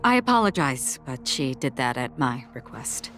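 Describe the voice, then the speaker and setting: A woman voicing a quiet, reserved exiled swordswoman who speaks softly and formally. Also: rumbling low, sing-song, normal speed, faint music behind, exaggerated voice. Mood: calm. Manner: soft-spoken, formal, restrained. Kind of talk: video game voice acting.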